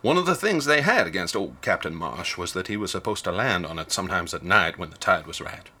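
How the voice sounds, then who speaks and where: An actor playing an older small-town ticket agent in a radio drama, a wary gossip with rambling, rustic speech. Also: male voice, low voice, expressive, fairly quick, pushed and bright, heavily acted. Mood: ominous, wary.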